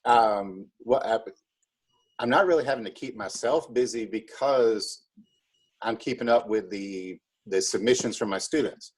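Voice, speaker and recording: A man's voice: lowish voice, measured pace, breathy edge. An American man, a drama teacher, chatting casually as an interview guest in a rambling, wry, easygoing way.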